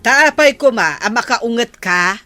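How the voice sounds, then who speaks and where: A woman acts out a bossy, vain wife in a village comedy drama, with a northern Philippine accent. She nags in a forceful, fairly high voice, drawing out her words in a slow delivery. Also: expressive, no room sound, soft background music. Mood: nagging. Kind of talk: comedy audio drama acting.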